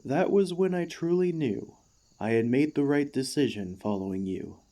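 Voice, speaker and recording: A man playing an emotional, excitable warforged ex-gladiator in an ASMR roleplay, gentle and devoted, with earnest, formal, halting speech. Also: medium pitch, lightly acted. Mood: earnest, admiring.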